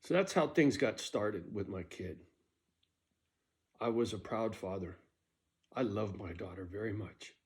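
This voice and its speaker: A man's voice: fairly low pitch, a little slow, long pauses. Blunt, profane middle-aged man telling stories. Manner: blunt, profane, conversational with frequent "right?". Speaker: storyteller telling his own life story. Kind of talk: casual personal storytelling.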